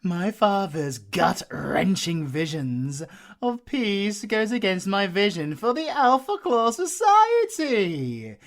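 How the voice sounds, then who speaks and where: A British man playing a flamboyant, sadistic werewolf villain in an audio roleplay, theatrical and mocking, drawling with long pauses. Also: high-pitched, sing-song, heavily acted. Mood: menacing, gloating.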